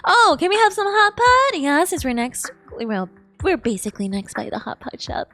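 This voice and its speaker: A woman's voice: very high-pitched, sing-song, moderate pace, bright, forceful tone. A woman game streamer giving live reaction commentary in a gushing, exclamatory, emotionally invested way. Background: game music.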